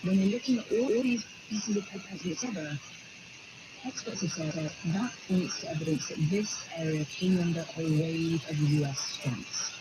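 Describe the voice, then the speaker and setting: A man's voice: high voice, normal speed. A man working as a journalist, explaining evidence step by step in a news interview, often repeating words as he goes. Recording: dull shortwave radio with loud noise.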